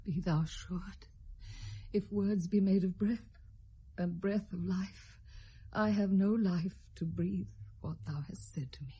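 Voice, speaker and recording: A woman's voice: medium pitch, slow, mellow, slightly rough, full character voice. An actress playing a fearful, sorrowing middle-aged queen and mother in 1970s British classical radio drama, with a stately Received Pronunciation accent. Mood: stricken, subdued. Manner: stately, theatrical, emotionally heightened.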